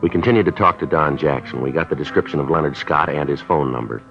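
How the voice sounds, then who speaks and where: A man's voice: rumbling low, fast talker. A man playing a police sergeant in a 1950s radio drama, narrating and questioning in a clipped, matter-of-fact way, on a dull old radio recording with faint music. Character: terse male police sergeant narrating, middle-aged. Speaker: detective narrator.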